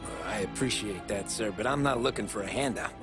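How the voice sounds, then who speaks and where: A man's voice: fairly low pitch, a little fast, close to an everyday voice. An actor playing a polite, earnest young male cab driver in a video game cutscene. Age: young adult. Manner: polite, respectful. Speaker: taxi driver. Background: cinematic music.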